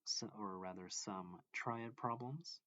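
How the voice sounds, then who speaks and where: A man reading a math textbook aloud as a teacher, explaining in short, deliberate phrases. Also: on the low side, bright, forceful tone. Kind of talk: instructional read-along of a math textbook. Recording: dull lo-fi, echoey room.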